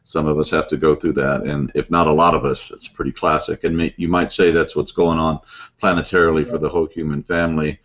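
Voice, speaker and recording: A man's voice: extremely low pitch, flat delivery, a little fast, fairly bright tone. A man hosting a casual interview, loose and jokey, laughing along with his guests. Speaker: host. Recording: slightly muffled live stream audio.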